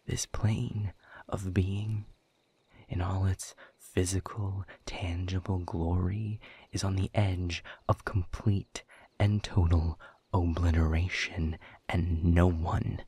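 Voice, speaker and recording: Man voicing a very husky, bitter demon. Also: level tone, dark tone, no echo, full character voice. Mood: grave, despairing. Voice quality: husky, breathy low voice. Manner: sardonic, emphatic, deliberate phrasing. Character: bitter, world-weary male demon warning of doom. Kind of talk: scripted audio roleplay monologue.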